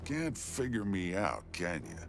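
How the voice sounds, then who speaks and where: An actor playing a gruff, wisecracking demon hero in a video game, gravelly, blunt and sardonic. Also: male voice, low voice, very animated, measured pace, heavily acted. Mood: taunting, defiant. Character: gruff wisecracking demon brawler, grown male monster hero. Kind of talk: video game character dialogue. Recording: game music behind.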